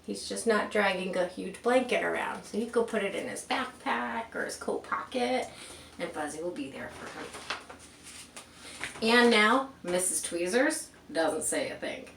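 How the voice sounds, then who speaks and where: A woman's voice: medium pitch, expressive, unhurried, gravelly, fairly bright tone. A woman reading a picture book aloud to children, expressively, with chatty asides and rhetorical questions. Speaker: children's storybook reader. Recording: roomy, distant mic with faint background noise.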